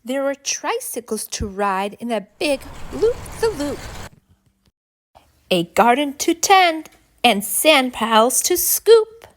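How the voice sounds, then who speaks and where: A woman reading a rhyming picture book to preschoolers in an expressive, deliberate, sing-song storytelling way. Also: high-pitched, a little hiss or hum. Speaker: children's story reader and channel host.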